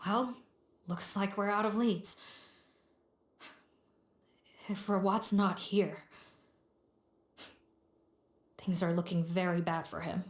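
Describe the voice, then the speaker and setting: A woman's voice: mid-range voice, steady tone, moderate pace, reverberant, natural voice. A woman playing a serious, businesslike female police officer in a video game, speaking in a matter-of-fact way. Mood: sober, pensive.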